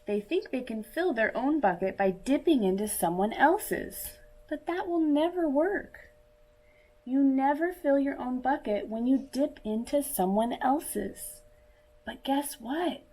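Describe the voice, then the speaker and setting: A woman reading a picture book aloud to young children in a deliberate, teacherly manner, as if speaking directly to her listeners. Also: sits high, warm tone, low-quality recording. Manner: asks listeners questions and pauses for answers. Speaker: children's story reader.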